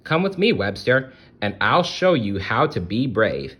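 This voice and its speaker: A man's voice: mid-range voice, big pitch swings, unhurried, a bit bright, breathy edge, heavily acted. A man voicing a friendly, encouraging caterpillar in a children's storybook. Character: friendly cheerful caterpillar, male, reassuring children's storybook hero.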